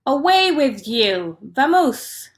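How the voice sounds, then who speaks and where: A grumpy storybook bear played by a woman reading aloud gives curt orders to be left alone. The delivery is slow and swings widely in pitch, with a bossy, put-upon temper. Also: very animated, heavily acted. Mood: exasperated. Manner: commanding, curt. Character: grumpy male bear who wants no visitors.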